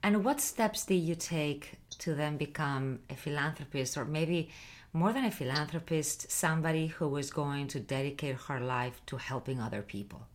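A woman's voice: sits low, moderate pace. A woman hosting her own interview show, asking questions in an encouraging way. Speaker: talk show host.

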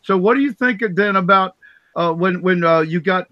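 A man's voice: sits high, normal speed, dry recording, dull audio. A man with a big voice speaking as a guest on a casual podcast, enthusiastic and rambling, on his own mic. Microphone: own separate mic.

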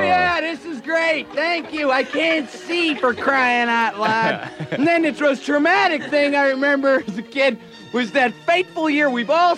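A man playing an excitable, whiny station manager who rambles and gripes through a comedy monologue. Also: very high-pitched. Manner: exasperated, rambling, blue-collar griping. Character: excitable, whiny male station manager doing comic commentary. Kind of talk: comedy monologue with acted-out shouts.

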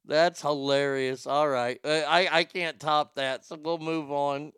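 Middle-aged male host, stutters, blunt and profane, bright, clean, dry voice. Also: a little high, steady tone, slow pace, clear, resonant tone, no echo. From Texas. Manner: rambling, blunt, profane, laughs at his own jokes. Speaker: livestream show host. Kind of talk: casual chat, reading viewer comments.

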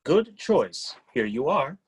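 A man playing an obliging but strict talking smart refrigerator in a play reading, his voice husky. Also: medium pitch, very animated, takes it slowly, heavily acted.

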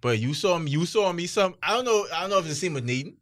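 Male podcast co-host, animated pitch, teasing and profane. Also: no echo, studio quality. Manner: teasing, swears freely, quick comic complaints. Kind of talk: casual banter among friends.

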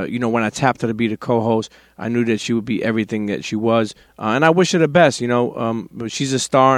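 A man's voice: medium pitch, no room sound, clean studio sound. A man from Brooklyn with a New York accent, hosting a podcast in a loose, slangy, swearing manner and reading ads. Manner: loose, street slang, swears, repeats phrases. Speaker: podcast host. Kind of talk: casual podcast chat and ad reads.

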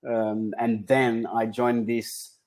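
A man in his forties from Portugal with a Portuguese accent, a guest on a podcast interview, talking in a relaxed, rambling way with many ums and restarts. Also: medium pitch, slow pace. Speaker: guest, football data analyst.

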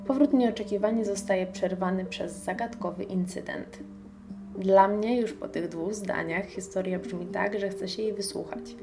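A woman's voice: medium pitch, moderate pace, gravelly, over music. A woman hosting a radio show, presenting a review with her own commentary.

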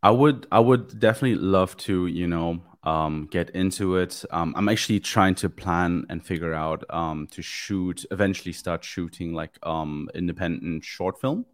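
A man's voice: low-pitched, moderate pace, hardly any pauses, no room sound, studio quality. A man from Germany in his thirties, a guest photographer speaking hesitantly with many ums and restarts on a video call. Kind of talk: casual interview chat. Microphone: studio mic on a video call.

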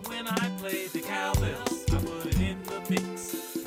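A woman sings a children's song as a steady, slow chant. Loud backing music plays behind her voice. She calls out each line as if leading a group. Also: medium pitch. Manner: chanting call-and-response lyrics.

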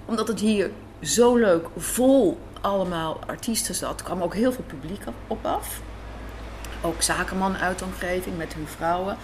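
A woman chats as an interviewee in a rather low voice. There is faint café ambience behind her. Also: normal speed, darkish tone, a little hiss or hum. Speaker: interview guest, radio drama writer and director. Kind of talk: casual conversational interview. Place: café in a hotel.

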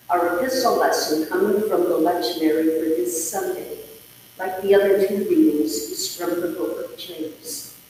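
Female lector, unhurried and very lively in intonation, heard from afar in a reverberant church with faint noise. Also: mid-range voice. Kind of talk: formal scripture reading aloud. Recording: very echoey hall, distant, faint noise.

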